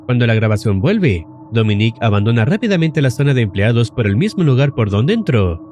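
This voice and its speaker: A man's voice: mid-range voice, sing-song, brisk, darkish tone, a little hiss or hum. A man narrating a scripted, suspenseful recap of a horror series as an explainer video host. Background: faint music.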